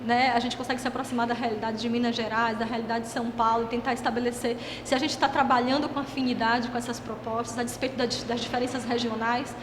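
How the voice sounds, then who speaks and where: A woman from Salvador, Brazil talks fast and fluently in an interview. Her voice is slightly high. It rings in an echoey hall with noise behind. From Salvador, Bahia, Brazil. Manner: fluent, animated. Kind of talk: interview answer.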